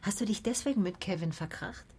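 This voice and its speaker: A woman playing a cool, observant private detective who narrates her case tersely and matter-of-factly in a radio play, with a husky voice. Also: mid-range voice, fairly quick, mellow, no echo, lightly acted. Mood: probing, matter-of-fact. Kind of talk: radio play first-person narration and dialogue.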